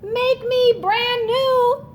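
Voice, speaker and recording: A woman voicing a shivering, sneezy storybook snowman who longs for warmth, with drawn-out, exaggerated delivery. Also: very high voice, little pitch movement, slight background noise, pitched above the performer's own voice, full character voice. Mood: pleading.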